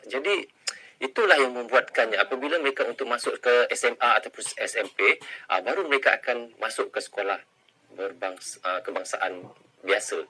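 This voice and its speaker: A man's voice: mid-range voice, pushed and bright, a little hiss or hum, poor audio. A man from Malaysia, chatting casually to viewers as he reacts to a video and thinks aloud.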